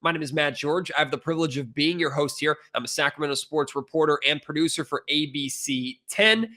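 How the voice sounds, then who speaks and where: A man's voice: fairly high pitch, brisk, pushed and bright. An American man hosting a sports podcast, talking as a reporter and interviewer.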